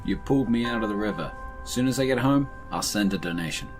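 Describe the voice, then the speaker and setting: Audio drama soldier on the run, male actor: fairly low pitch, tired, brusque, brushing people off, over a music bed. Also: lively intonation, fast talker, close to an everyday voice, poor audio. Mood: dismissive, sardonic. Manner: curt, dismissive. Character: gruff, weary soldier on the run, dismissive and reluctant. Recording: music playing behind the voice.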